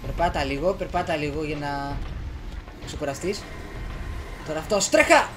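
A young man, giving casual let's play commentary in an excitable way, talking to himself and repeating words rapidly. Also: a little high, background noise. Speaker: gaming YouTuber. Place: small room at home, indoors. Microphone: headset on, desktop USB condenser microphone. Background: horror game soundtrack and effects.

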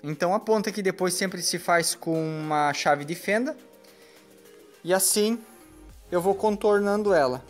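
A man's voice: high voice, a little slow. Male tradesman, 30s, hands-on explainer, roomy workshop sound with faint music under him. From Brazil. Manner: plain explanatory, frequent tag questions, working while talking. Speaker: window installer. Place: large workshop indoors. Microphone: phone camera nearby. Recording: echoey workshop with background music.

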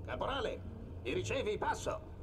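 A man's voice: high-pitched, very animated, moderate pace, very noisy. A man playing a brusque, impatient, commanding sergeant in a dubbed video game. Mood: urgent, barking. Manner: barking orders, brusque.

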